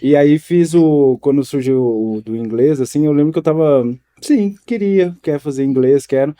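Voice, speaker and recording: Mellow-voiced Brazilian man in his forties. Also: a little high, normal speed. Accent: Brazilian Portuguese. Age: 40s. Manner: hesitant, many restarts, relaxed. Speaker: guest, former student. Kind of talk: casual interview chat.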